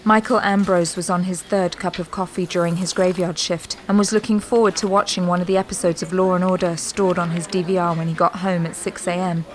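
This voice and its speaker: A woman's voice: lowish voice, steady tone, brisk, hardly any pauses, a little hiss or hum. A woman reading scripted, dramatic narration for a sci-fi audio drama. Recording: loud music under narration.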